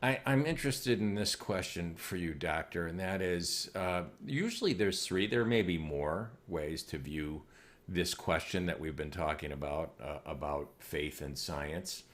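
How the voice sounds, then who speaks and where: Super deep-voiced host guy asking a long careful question on a video call. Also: expressive, measured pace. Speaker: show host. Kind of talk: interview question.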